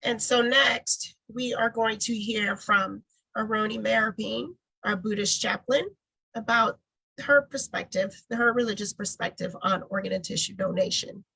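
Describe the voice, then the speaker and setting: A woman introduces the next speaker on a webinar video call. Her voice is high and bright, with a husky, gravelly edge. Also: a little slow, poor audio. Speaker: moderator.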